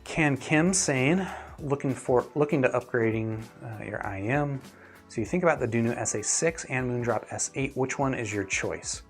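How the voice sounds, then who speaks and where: A man's voice: medium pitch, animated, normal speed, faint music behind. A man giving gear opinions as a reviewer and host, chatting casually and thinking aloud as he answers viewers. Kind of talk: casual livestream chat answering viewer questions.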